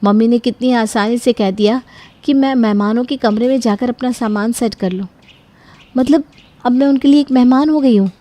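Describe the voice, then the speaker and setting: A woman's voice: on the high side, moderate pace, mellow, voice pushed up, lightly acted. A woman voicing a young married daughter in a cartoon story, polite but easily wounded and given to complaint. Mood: hurt.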